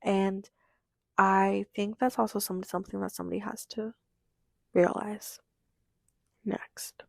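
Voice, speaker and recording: Teen girl podcast host, hoarse and raspy, middle pitch, chatty and rambling. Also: flat delivery, a little slow, long pauses, a little mellow, dry recording. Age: teenager in high school. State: hoarse, losing her voice. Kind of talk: casual confessional monologue.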